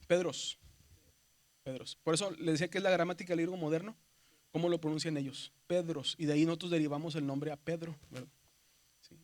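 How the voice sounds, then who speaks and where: A man's voice: fairly high pitch, darkish tone. A man from Mexico with a Mexican Spanish accent, teaching a seminary class, who explains step by step, keeps checking with "¿verdad?" and calls his students brothers. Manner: addresses students as "hermanos". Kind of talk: classroom lecture.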